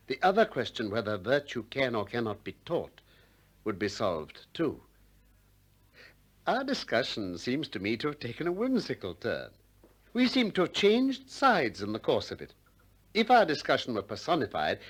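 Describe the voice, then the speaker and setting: An actor playing a male philosopher in a 1960s radio drama, courteous but relentless, articulate and measured as he questions insistently. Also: mid-range voice, slightly rough. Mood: reflective, earnest.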